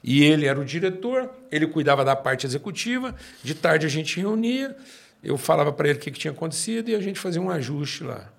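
A man in his sixties with a Brazilian accent, a pastor telling his own story in conversation and slowing down to stress his points. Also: fairly high pitch, sing-song, creaky. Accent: Brazilian Portuguese. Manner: emphatic, slows down to stress points.

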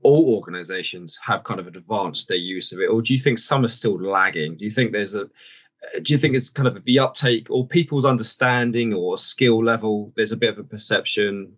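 A man hosting a podcast interview, upbeat and chatty, firing off quick questions. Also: on the low side, fairly bright tone. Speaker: podcast host.

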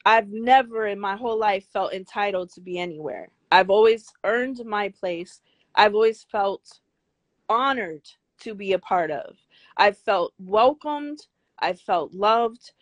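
An older woman with a high, girlish voice, speaking bluntly and emphatically as an interview guest, swearing freely. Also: expressive, measured pace, no echo. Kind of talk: candid interview talk.

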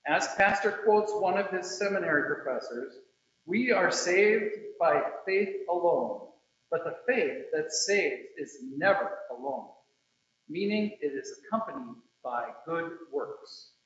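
A man giving a solemn scripture reading at a church service, with deliberate, measured phrasing. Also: fairly high pitch, lively intonation, a bit bright. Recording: very echoey room, slightly muffled.

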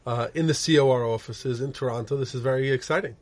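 A man's voice: medium pitch, fast talker, a little mellow. A middle-aged man, born in the United States and raised in Israel, telling his life story as a rabbi guest on an interview show, with many fillers and restarts. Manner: rambling, frequent fillers and restarts. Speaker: guest, rabbi and organization director. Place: office.

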